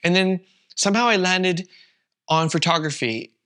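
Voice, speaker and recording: Bright-voiced Canadian man, relaxed. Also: high-pitched, moderate pace, clean tone. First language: Vietnamese. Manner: relaxed, rambling, with many fillers. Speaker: podcast co-host. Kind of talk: casual chat.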